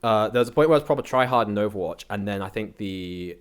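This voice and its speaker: A man's voice: on the low side, lively intonation, fast, clean tone. A young British man chatting casually as an interview guest, telling anecdotes in a slangy, rambling way. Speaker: interview guest, content creator.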